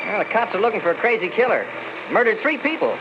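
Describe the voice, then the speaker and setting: An actor playing a folksy, gossipy gas station attendant chatting in an old radio mystery. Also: male voice, high voice, sing-song, fast talker. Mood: chatty. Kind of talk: 1940s radio mystery acting. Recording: muffled noisy old radio recording.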